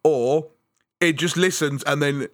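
A man co-hosting a casual podcast chat, self-deprecating and quick to laugh, with halting restarts. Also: on the high side, dry recording, clean studio sound. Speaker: podcast co-host.

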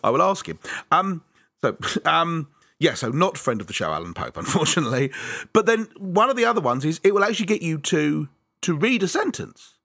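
Podcast co-host, male, bright and animated, chatty with frequent laughs, dry close mic. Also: a little high, no room sound. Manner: chatty, self-deprecating, laughs often, halting restarts. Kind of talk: casual chat.

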